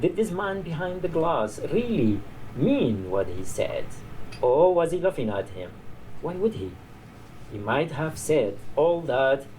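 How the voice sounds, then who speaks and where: A man reading a literary short story aloud at a live event, measured with the odd stumble. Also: mid-range voice, darkish tone. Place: artist-run bar, live salon event. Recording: noisy live reading in a bar.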